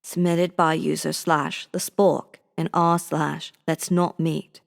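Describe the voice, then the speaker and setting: Gravelly woman narrator in long reverb. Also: low-pitched, flat delivery, takes it slowly. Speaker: narrator of scary stories. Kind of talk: scripted first-person horror story narration.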